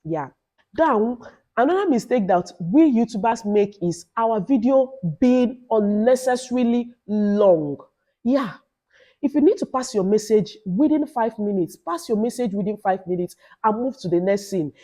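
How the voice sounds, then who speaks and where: A Nigerian woman with a loud voice and a Nigerian accent gives informal advice as a vlogger, in an emphatic, instructive way with repeated phrases. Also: on the high side, animated, a little slow. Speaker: YouTuber giving advice to new creators. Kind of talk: informal advice vlog with Nigerian Pidgin asides.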